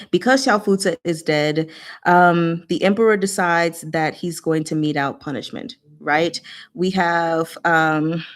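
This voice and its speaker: A woman hosting an informal book discussion, talking in a casual, blunt, slangy way and often interrupting herself to address her listeners. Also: low voice. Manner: scattered, self-interrupting, talks to herself and audience. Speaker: host of a book discussion session. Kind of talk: informal live book discussion, recapping plot.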